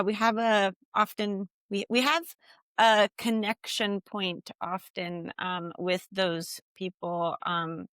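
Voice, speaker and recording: A woman from Costa Rica chats as a podcast guest, her talk full of little fillers. Her voice comes through smooth and clear, with a dry, close sound. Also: mid-range voice, fairly bright tone, dry recording. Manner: chatty, many fillers. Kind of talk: casual conversation.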